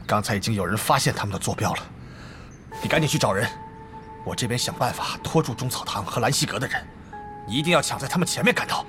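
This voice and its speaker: An actor as a lively, scheming male guild boss. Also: mid-range voice, fast talker, music in the background, lightly acted. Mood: brisk, calculating. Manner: calculating, argumentative. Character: scheming, hot-tempered male guild leader in an online game. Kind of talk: Chinese audio drama acting.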